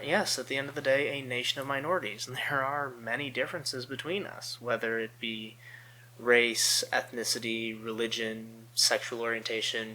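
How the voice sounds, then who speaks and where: An American man giving earnest political commentary as a channel host, phrasing his points deliberately and with emphasis. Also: medium pitch, sharp tone, low-quality recording.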